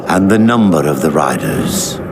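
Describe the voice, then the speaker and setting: An actor playing a solemn, ominous storyteller, giving portentous epic narration for a video game. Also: male voice, extremely low pitch, very animated, normal speed, vocal fry, heavily acted. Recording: loud music behind the voice.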